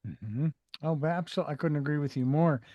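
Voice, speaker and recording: A man's voice: on the high side, moderate pace, no room sound, highs cut off. A man hosting an interview show, speaking in a rambling way with many fillers and restarts. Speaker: podcast host.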